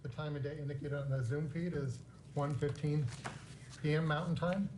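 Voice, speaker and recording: Guy reading formal legal intro, slightly high voice, noisy video call. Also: steady tone, normal speed. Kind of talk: formal scripted on-record announcement.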